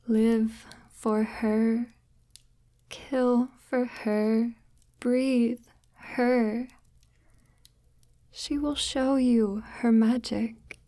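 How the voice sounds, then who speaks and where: A sinister forest witch, acted by a woman in an intimate audio roleplay, speaks extremely slowly in a mellow, breathy half-whisper. She is formal and deliberate, devout about her woods and condescendingly tender toward her captive. The sound is close and dry. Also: fairly high pitch, dry recording. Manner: formal, measured, deliberate, gently patronizing. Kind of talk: ASMR audio roleplay, slow intimate monologue.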